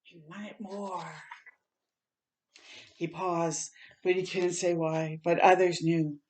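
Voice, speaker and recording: A woman's voice: fairly low pitch, flat delivery, slow, darkish tone, slight background noise. A woman reading a picture book aloud for children as a storytime host, in a dramatic, heartfelt and emphatic manner.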